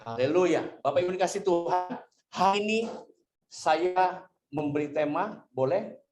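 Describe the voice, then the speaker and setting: High-pitched man preaching, echoey and distant. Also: unhurried, crowd noise. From Indonesia. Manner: exhortative, repeats phrases and calls for amens. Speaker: guest preacher, pastor. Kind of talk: charismatic church sermon. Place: church service room, before a congregation. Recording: echoey room, distant microphone.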